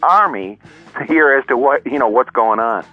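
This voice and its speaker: A man's voice: medium pitch, animated, moderate pace, soft background music. A man calling in to a radio talk show, relaying breaking news in an earnest, matter-of-fact way. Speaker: caller. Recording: dull lo-fi phone call.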